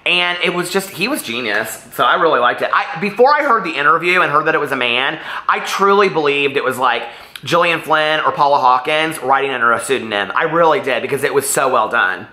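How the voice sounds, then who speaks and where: A man's voice: a little high, animated, fairly quick, bright, forceful tone. A man talking casually to viewers as a reviewer, in a gushing, rambling and emphatic way. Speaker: book reviewer vlogger. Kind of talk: casual chatty book review to camera. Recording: roomy, echoey room sound.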